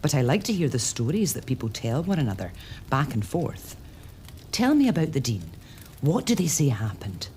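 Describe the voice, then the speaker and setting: An eager, inquisitive young lady of the manor, played by a woman. Her voice sits very low but moves in a lively way. Faint noise sits under it. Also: moderate pace. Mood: curious. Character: curious young lady of the house.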